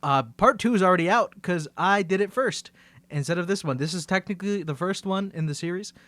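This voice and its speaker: Higher-voiced man in a dry recording. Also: fairly quick, fairly bright tone, no room sound. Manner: self-deprecating. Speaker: audio roleplay voice actor. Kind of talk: casual aside to listeners.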